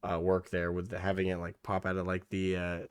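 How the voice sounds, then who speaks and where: Hoarse low-voiced man rambling. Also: completely flat delivery, a little fast, no echo. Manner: rambling, frequent restarts and fillers, swears. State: hoarse, losing his voice. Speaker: reaction video host. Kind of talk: casual reaction commentary.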